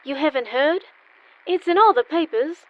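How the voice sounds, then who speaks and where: A woman's voice: high voice, expressive, normal speed, phone-quality sound. An actress playing a polite, anxious lady accused of murder in an old-time style radio play.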